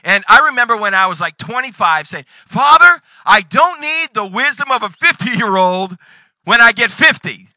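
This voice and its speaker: Male pastor, forties, high voice, dry close sound, dynamic sermon delivery. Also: very animated, normal speed, dry recording. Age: 40s. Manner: preacher's dynamic delivery with sudden loud bursts and Bible readings.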